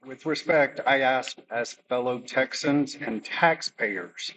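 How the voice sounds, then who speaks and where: A man's voice: medium pitch, takes it slowly, pushed and bright. A man, a landowner, reading pointed, formal questions to utility staff at a public meeting. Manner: formal, pointed, reads prepared remarks. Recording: distant mic with faint noise.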